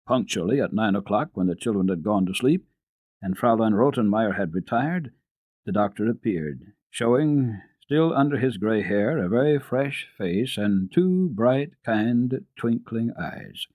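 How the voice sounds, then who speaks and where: A man's voice: on the low side, animated, unhurried, darkish tone, no room sound. A man reading a classic children's story dramatically, as a radio storyteller. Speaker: storyteller, radio program host.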